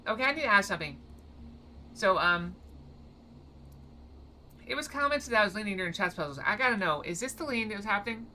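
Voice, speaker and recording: A woman's voice: mid-range voice, animated, fairly quick, long pauses, pushed and bright, a little hiss or hum. A woman streaming a video game, chatting casually in a rambling, playful way. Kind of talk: casual live-stream chat over gameplay.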